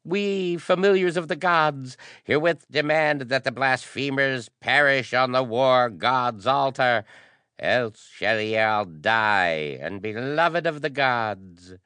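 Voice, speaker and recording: A man's voice: mid-range voice, slow pace, sharp tone, smooth, no room sound, pitched above the performer's own voice. A man voicing a furious, imperious old arch-priest with a screeching voice in an adventure story. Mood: imperious fury. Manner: imperious, archaic speech.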